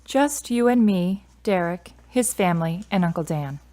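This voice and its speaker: A woman's voice: lowish voice, lively intonation, normal speed, dark tone, lightly acted. A woman playing a grieving, independent and prickly adult daughter in a radio drama, speaking in a curt, guarded way. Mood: weary, subdued.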